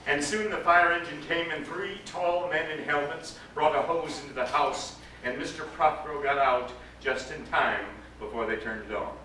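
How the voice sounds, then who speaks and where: Echoey, bright-voiced man reciting theatrically. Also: fairly high pitch, moderate pace, few pauses. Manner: theatrical, lingering on phrases. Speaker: actor giving a reading. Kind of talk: dramatic storybook recital. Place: live event before an audience. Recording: roomy echoing live recording, distant microphone, faint noise.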